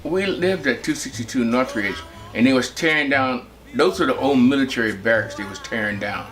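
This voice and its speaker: A man in his seventies from Texas, talking about his family in a relaxed, rambling way. He often pauses with an uh as he searches for words. Soft music sits faintly behind his voice. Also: mid-range voice. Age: 70s. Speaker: interviewee recalling family life. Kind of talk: personal reminiscence.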